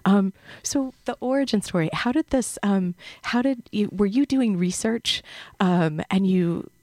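A woman interviewer asking hesitant, halting questions full of fillers. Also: medium pitch, darkish tone, dry recording. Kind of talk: interview conversation.

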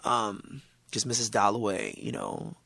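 A man whose native language is Tagalog, speaking as an author guest in a casual interview, animated and emphatic, often laughing. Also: on the low side, a little slow, creaky, dry recording. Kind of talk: casual conversation.

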